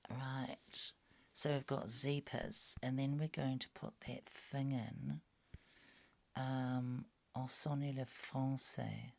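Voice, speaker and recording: Slow gravelly quiet-voiced man. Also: mid-range voice, level tone, no room sound. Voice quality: quiet soft-spoken voice. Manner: muttering, mild grumbling and drifting off into whispers. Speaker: language video maker. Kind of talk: casual talking to himself while working. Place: home at night. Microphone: phone.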